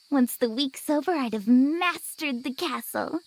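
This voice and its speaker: A woman voicing an excitable, playful and slightly awkward girl in a visual novel game. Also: high voice, slow, exaggerated voice, low-quality recording. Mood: excited, playful.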